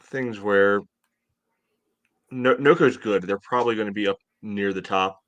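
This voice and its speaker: Lowish-voiced American man talking sports. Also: unhurried, fairly bright tone, dull audio. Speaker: podcast co-host. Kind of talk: casual sports analysis chat. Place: room at home, indoors. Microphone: video call from a computer.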